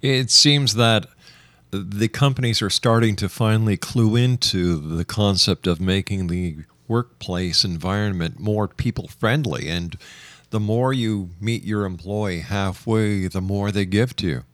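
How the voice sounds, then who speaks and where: A man hosting a radio interview show, speaking in a measured, emphatic way with deliberate pauses. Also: lowish voice, a bit bright, no room sound. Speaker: radio talk show host.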